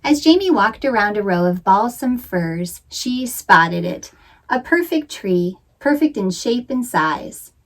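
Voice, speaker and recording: Lady doing storytime read-aloud for kids, expressive, medium pitch, plays up the suspense. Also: unhurried, low-quality recording. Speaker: children's author and librarian reading aloud.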